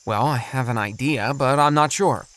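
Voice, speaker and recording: A man's voice: mid-range voice, moderate pace, a bit bright, no room sound, lightly acted. A man playing an earnest, thoughtful middle-aged father with a hesitant, explanatory manner in a children's audio drama.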